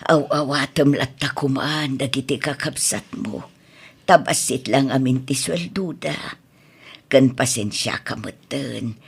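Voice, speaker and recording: A woman's voice: rumbling low, unhurried, creaky, husky, heavily acted. A woman with an Ilocano accent acting a patient, pleading family woman in a radio drama. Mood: sad, pleading. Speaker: drama voice actor.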